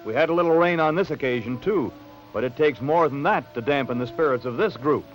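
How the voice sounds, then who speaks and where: An American man reading scripted narration for a late-1960s newsreel in a measured, polished announcer delivery. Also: medium pitch, rough recording. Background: background music.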